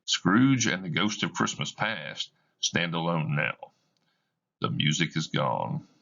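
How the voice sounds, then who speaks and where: Man reading stage directions, low voice, measured, muffled lo-fi sound. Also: animated, gravelly, sharp tone. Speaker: reader. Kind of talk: reading a stage play aloud.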